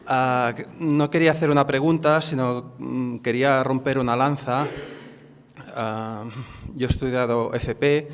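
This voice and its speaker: Man, mid-pitched, halting with lots of fillers, speaking in a hall with long reverb. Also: steady tone, a little hiss or hum. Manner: hesitant, many fillers and repeated words. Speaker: audience member, plant nursery owner. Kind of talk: spontaneous comment from the floor. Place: conference hall.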